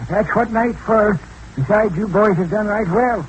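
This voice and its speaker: An actor playing a folksy, cagey but kindly old hermit, with a hesitant delivery, in an old-time radio adventure. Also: male voice, high voice, slow, lo-fi sound. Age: older. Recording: noisy old radio recording.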